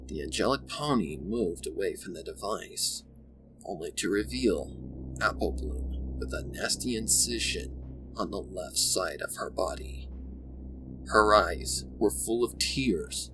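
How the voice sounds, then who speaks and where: A man narrating a dark scripted story in a dramatic, ominous and deliberate manner. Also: low-pitched, background noise.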